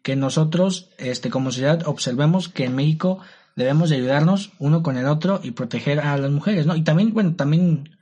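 A man's voice: on the high side, a little fast, mellow, slightly rough. A young man with a Mexican accent co-hosting a casual discussion, slangy and joking, tossing in tag questions. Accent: Mexican Spanish. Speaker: podcast co-host.

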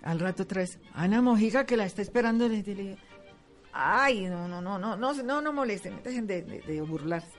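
Older Colombian woman reminiscing, music behind. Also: mid-range voice. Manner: animated storyteller, halting repetitions, acts out dialogue. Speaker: interviewee, veteran radio actress. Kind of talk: spoken reminiscence, anecdote telling.

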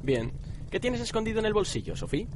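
A man's voice: high voice, very animated, fairly quick, background noise. A man playing an imperious, mysterious young wizard in a radio drama. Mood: probing.

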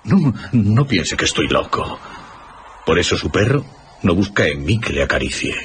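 A man's voice: low-pitched, big pitch swings, normal speed, a bit bright, slight background noise. An actor playing an ominous, confiding man who smells of wolf and unsettles dogs, in a radio drama.

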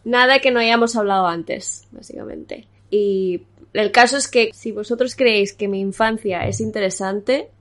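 A young woman hosting a casual podcast, chatty and quick with asides, often laughing. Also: mid-range voice. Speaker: podcast host.

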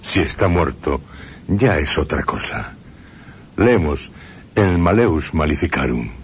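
A man hosting and narrating a radio drama program, speaking in a measured, ominous way with dramatic pauses. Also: rumbling low, big pitch swings, slight background noise. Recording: radio broadcast with clear music bed.

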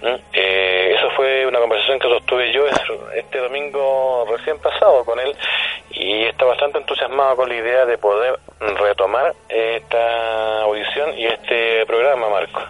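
A man's voice: medium pitch, normal speed. A man with a Chilean accent chatting politely and formally as a radio guest in a phone interview. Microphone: telephone. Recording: tinny, narrow telephone line.

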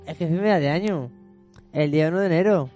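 A man hosting a casual radio talk show, quizzing his guests with quick playful questions. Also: on the high side, mellow, soft background music. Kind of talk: casual studio chat.

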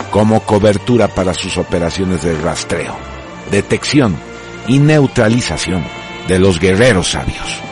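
Very deep male narrator over music. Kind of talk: scripted dramatic narration.

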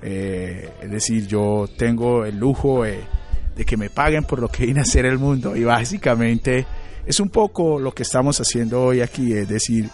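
A Colombian man in his late thirties, a psychologist and radio guest, answering in a thoughtful, halting way with many fillers and restarts. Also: medium pitch, darkish tone, faint music behind. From Colombia, Chocó. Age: late 30s. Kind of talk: reflective interview answers.

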